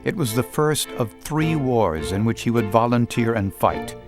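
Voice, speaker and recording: A man giving scripted documentary narration with a measured, formal delivery. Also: medium pitch, lively intonation, music in the background.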